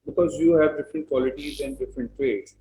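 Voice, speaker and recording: A man's voice: medium pitch, fairly even intonation, fast, warm tone. A man with a Pakistani accent asking questions as a talk show host in an echoing indoor studio. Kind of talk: interview questioning. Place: indoor studio set at a desk. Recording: roomy, echoing studio sound.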